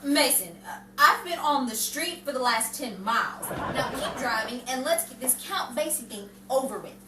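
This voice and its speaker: A woman's voice: sits high, lively intonation, normal speed. A woman playing an exasperated, sarcastic passenger who wisecracks in an old-time radio comedy. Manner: sardonic wisecracking. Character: exasperated, sarcastic woman squashed in a back seat. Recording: roomy, distant, clearly noisy.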